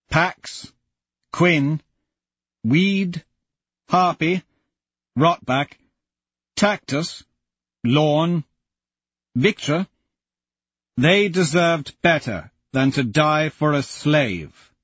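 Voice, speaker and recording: A grave young warrior noble, voiced by a male reader, solemn and firm. He sits well above the reader's usual pitch and a touch louder. The recording is dry and slightly dull. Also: extremely slow, pauses often, dry recording, exaggerated voice.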